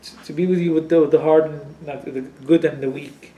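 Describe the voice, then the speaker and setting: A man's voice: on the high side, fairly even intonation, fairly quick, mellow. Palestinian man in an echoey room. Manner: frank, swears when riled, many fillers. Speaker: interviewee. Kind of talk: casual interview chat. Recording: echoey room, faint ambient noise.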